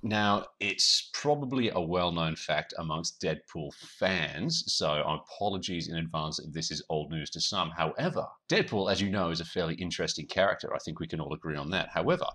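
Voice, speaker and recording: A man's voice: deep voice, brisk, few pauses, sharp tone. A man co-hosting a podcast in casual, wry, conversational chat. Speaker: podcast co-host.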